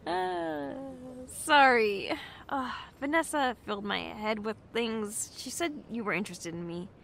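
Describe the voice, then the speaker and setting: A woman's voice: a little high, a little slow, vocal fry, fairly bright tone, slight background noise. A woman playing a friendly, slightly flustered young working woman in an audio drama, polite and a little hesitant. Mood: awkward.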